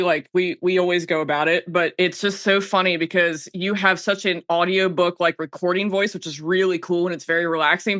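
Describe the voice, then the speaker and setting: A woman's voice: on the low side, a bit bright, dry recording, clean studio sound. An American woman in her thirties chatting casually and candidly as a podcast guest. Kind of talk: casual chat.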